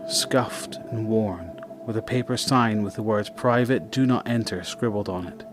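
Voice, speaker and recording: A man with a fairly low voice narrates a scripted drama. He delivers it in measured, short phrases, with music clearly playing behind him.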